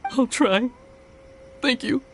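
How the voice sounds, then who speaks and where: Slow, high-voiced, forlorn young man. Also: fairly bright tone, a little hiss or hum, full character voice. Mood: dejected. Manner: hesitant, downcast. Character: crestfallen, timid young man. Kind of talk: video game dialogue.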